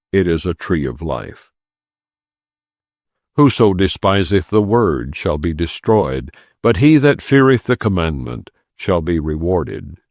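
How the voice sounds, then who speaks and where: A man with a very deep, gravelly voice reads scripture aloud as an audiobook narrator. His delivery is solemn and formal, slow and deliberate, with a good deal of rise and fall in the phrasing. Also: darkish tone, no echo, studio quality. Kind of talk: solemn non-dramatized Bible reading.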